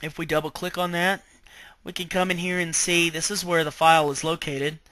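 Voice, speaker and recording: Bright-voiced man giving software training. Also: a little high, flat delivery, brisk, no room sound. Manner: step-by-step explaining, checking in with okay. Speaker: IT trainer presenting software tutorial. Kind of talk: informal instructional screen-share walkthrough.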